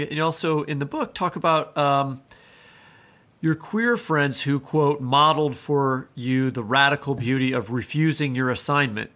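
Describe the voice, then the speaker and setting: A man's voice: a little high, bright, forceful tone. A man co-hosting a podcast and putting questions to a guest in a conversational style. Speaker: podcast co-host, interviewer. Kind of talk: conversational interviewing.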